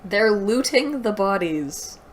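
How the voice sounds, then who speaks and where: A woman's voice: mid-range voice, expressive, takes it slowly, a little hiss or hum. A woman reading aloud and giving mocking, incredulous commentary as the host of a book review. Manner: exasperated, incredulous.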